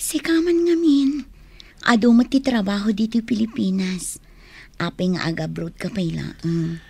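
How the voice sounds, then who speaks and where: A Filipino actress playing a conflicted young woman torn between suitors in a radio drama, with a husky voice. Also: mid-range voice, measured pace, darkish tone. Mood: subdued, devoted. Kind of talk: Ilocano radio drama acting.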